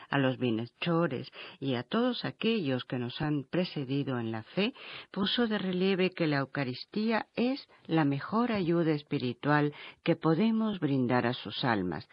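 A male newsreader delivers a scripted radio bulletin in a measured, formal tone. The sound is dry and close, with slightly dull highs. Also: dry recording.

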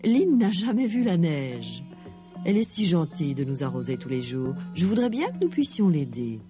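A woman's voice: deep voice, big pitch swings, normal speed, over music. A woman voicing a kindly, caring talking flower in a children's story.

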